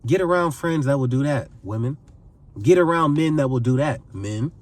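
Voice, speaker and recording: A man's voice: mid-range voice, lively intonation, moderate pace, far from the mic. A man in his twenties giving earnest advice to camera, pleading and repeating phrases for emphasis. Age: 20s. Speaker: vlogger giving life advice.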